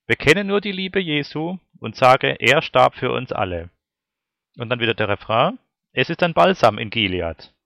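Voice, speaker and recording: A man preaching a sermon, addressing his listeners formally and in a measured way as he reads out and explains passages. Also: mid-range voice, vocal fry, a bit bright, no room sound, studio quality. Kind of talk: sermon with scripture and verse readings.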